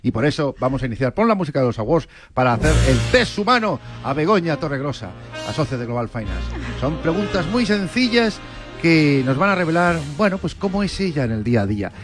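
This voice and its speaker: A man's voice: fairly high pitch, sing-song, fairly quick, over music. A man hosting an interview, joking and exclaiming in a playful, theatrical way. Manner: jokey, theatrical, emphatic exclamations. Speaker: podcast host.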